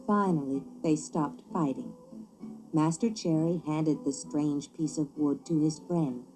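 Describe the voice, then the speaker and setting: A woman reading a children's story as scripted narration, with an expressive, theatrical delivery. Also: low voice, a little slow, faint music behind, poor audio.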